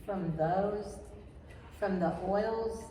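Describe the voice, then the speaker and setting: An older woman with a soft voice, answering casually as the author guest in an interview. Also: mid-range voice, drawn-out words. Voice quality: soft-spoken. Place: echoey room at a writer's retreat, background noise. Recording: echoey distant room mic with faint noise.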